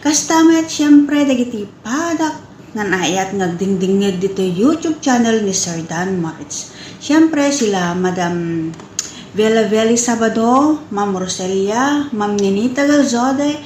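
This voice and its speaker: Slow, echoey Ilocano woman reading greetings. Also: on the high side, expressive, a little mellow. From northern Philippines. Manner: halting, stumbles over names. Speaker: listener sending shout-out greetings. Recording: echoey room with faint background noise.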